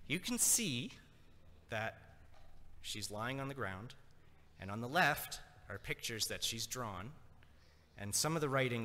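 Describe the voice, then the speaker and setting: A man's voice: on the low side, bright, forceful tone. A man from Nova Scotia whose first language is French, giving a chatty conference lecture and checking in with the audience. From Nova Scotia, Canada. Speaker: conference speaker. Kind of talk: conversational lecture. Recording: long reverb of a hall.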